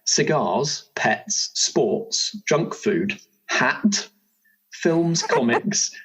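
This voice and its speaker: Husky man as casual compère. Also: mid-range voice, lively intonation, extremely slow, a bit bright, rough recording. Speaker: compère running character creation. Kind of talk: casual chat, reading out options.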